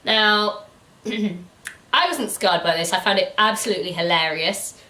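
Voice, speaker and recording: A woman's voice: mid-range voice, animated, measured pace, bright, forceful tone. A British woman hosting a casual, comedic book review chat, giggly, incredulous and free with swearing. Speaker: host of a book review show. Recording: roomy echo, distant mic.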